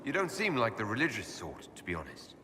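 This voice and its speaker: A man playing a curious, polite elf hero in a video game, with a direct, inquisitive and measured manner. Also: on the low side, huge pitch swings. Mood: candid. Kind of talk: video game dialogue acting. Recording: reverberant hall, faint background noise.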